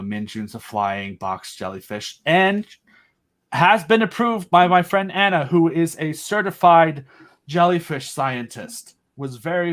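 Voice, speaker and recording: A man's voice: on the high side, fairly bright tone. A man as an interview guest, eagerly explaining his own creative project in casual, rambling chat. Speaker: guest, creator of an audio drama.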